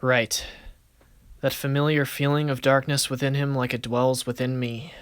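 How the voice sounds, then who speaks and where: A man's voice: mid-range voice, level tone, normal speed, smooth, no room sound, clean studio sound, natural voice. A man voicing a confident, cocky but good-natured young card duelist in casual, swaggering banter for an amateur anime-style series. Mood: grave, scheming.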